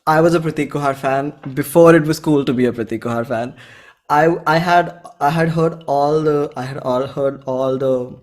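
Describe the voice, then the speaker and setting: A man's voice: a little high, steady tone, normal speed. A man in his twenties from India, with an Indian accent, chatting casually as a musician guest in an enthusiastic, effusive, slangy way. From Bhopal, India. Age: 20s. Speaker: indie musician, interview guest. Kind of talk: casual chat.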